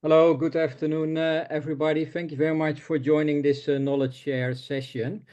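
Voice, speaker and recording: A man whose first language is Dutch, presenting market research in a webinar, halting with many filler sounds. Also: a little high, level tone, a little mellow. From Netherlands.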